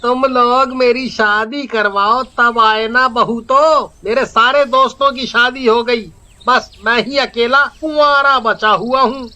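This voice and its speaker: High, bright, cocky young village man acted out. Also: steady tone, takes it slowly, heavily acted. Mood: whiny, defensive. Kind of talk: acted moral-story dialogue.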